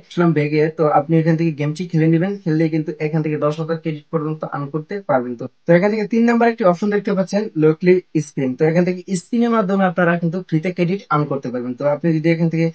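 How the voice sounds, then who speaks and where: A man's voice: on the high side, a little mellow, muffled recording. A man narrating an app tutorial step by step, in a repetitive, instructional way. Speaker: tech tutorial host.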